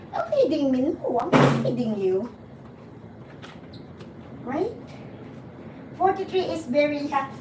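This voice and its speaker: A woman's voice: high-pitched, very noisy. A woman in her forties from the Philippines, with a Filipino accent, hosting a livestream. She chats and teases her viewers, laughs often, and restarts her sentences. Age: 40s. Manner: chatty and teasing, laughs often, halting restarts. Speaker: livestream host. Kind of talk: casual chat with viewers. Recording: roomy, distant mic, noticeable background ambience.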